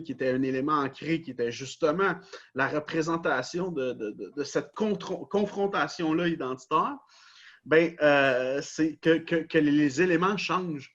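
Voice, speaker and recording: A man's voice: a little high, a bit bright, breathy edge. A man with a Québécois French accent hosting a podcast, explaining at length and leaning on repeated stock phrases. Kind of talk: casual discussion. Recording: dull lo-fi recording.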